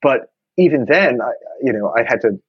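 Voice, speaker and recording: A man's voice: medium pitch, expressive, moderate pace, breathy edge, dry recording, dull audio. A man, talking thoughtfully in a casual conversation with many restarts. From New York. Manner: reflective, many restarts and fillers.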